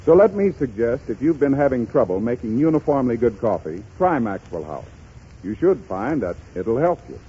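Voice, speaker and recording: Male announcer, medium pitch, dark tone, scripted 1930s radio advertising, dull old recording. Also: very animated, normal speed, slight background noise.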